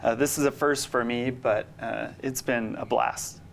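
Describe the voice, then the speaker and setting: A middle-aged man gives casual interview answers in a studio. His pitch is average and his delivery is halting and thoughtful, with repeated words. Faint noise sits behind him. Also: steady tone, gravelly. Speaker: interview guest, amateur actor.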